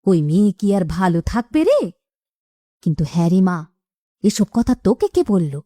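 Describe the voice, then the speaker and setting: A woman's voice: mid-range voice, sing-song, normal speed, dark tone, no echo, studio quality. A woman voicing an easily shocked middle-aged village woman in a melodramatic cartoon, exclaiming and repeating her words. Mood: gossipy, scandalized.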